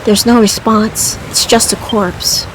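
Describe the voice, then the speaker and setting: A woman's voice: medium pitch, level tone, takes it slowly, noisy background. A woman casually commentating on her video game playthrough and reading game text aloud. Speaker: gamer playing and reading aloud.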